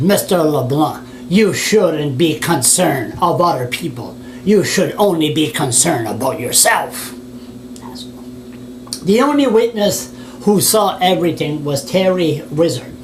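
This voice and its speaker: A Canadian man, a blogger, reading his written complaint aloud in an indignant, plain-spoken way with offhand asides and restarts, on a noisy recording. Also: on the high side.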